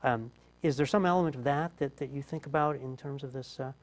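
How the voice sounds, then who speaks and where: A man's voice: medium pitch, brisk. Conversational male interview host. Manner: conversational, thinking aloud with fillers.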